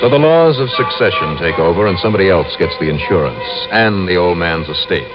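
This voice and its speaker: An actor playing a cool, wry, tough insurance investigator, dry and unruffled, in vintage radio style. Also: male voice, sits low, lively intonation, brisk, over loud music. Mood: wry narration. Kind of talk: vintage radio detective drama acting and narration. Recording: muffled, hissy old-time radio recording.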